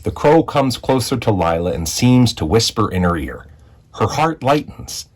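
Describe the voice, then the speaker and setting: A man reading a children's picture book aloud as a storyteller, with expressive narration and deliberate dramatic phrasing. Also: mid-range voice. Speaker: read-aloud storyteller, school executive.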